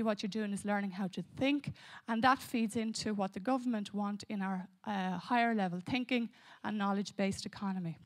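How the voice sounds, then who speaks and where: A woman presenting an event and introducing a guest speaker, with a few hesitations and self-corrections. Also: on the high side.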